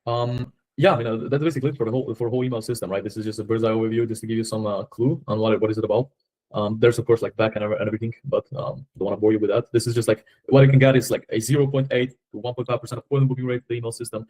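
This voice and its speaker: Very fast-talking man with a Slovenian accent. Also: mid-range voice, steady tone, warm tone, rough recording. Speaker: agency owner on a sales call. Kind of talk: casual sales conversation.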